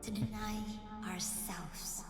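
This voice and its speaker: An actress playing a solemn, mystical young moon priestess in a fantasy game, speaking in measured, poetic lines through ethereal reverb. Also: female voice, mid-range voice, steady tone. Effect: ethereal spirit-realm reverb. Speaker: video game character. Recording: long echoing reverb, loud music behind. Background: loud music.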